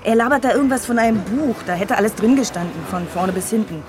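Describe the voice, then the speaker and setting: A woman's voice: a little high, quick pace, natural voice. An actress playing a cheeky young woman, slangy and scornful, in casual radio drama dialogue. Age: young adult. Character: Megan, cheeky young woman mocking conspiracy talk. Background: music.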